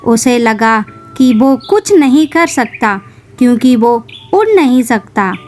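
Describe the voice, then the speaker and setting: A woman's voice: a little high, animated, slow pace, darkish tone, soft background music. A woman narrating a scripted children's story.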